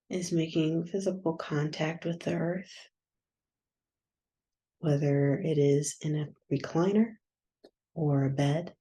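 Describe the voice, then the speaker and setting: A woman guiding a yoga nidra meditation in a soft, soothing, unhurried manner with long pauses. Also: lowish voice. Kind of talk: guided meditation.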